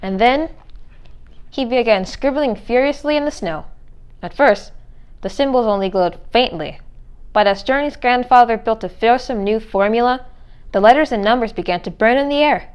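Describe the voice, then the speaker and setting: A woman's voice: on the high side, normal speed, clear, resonant tone. A woman reading a children's storybook aloud as narrator. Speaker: read-aloud reader.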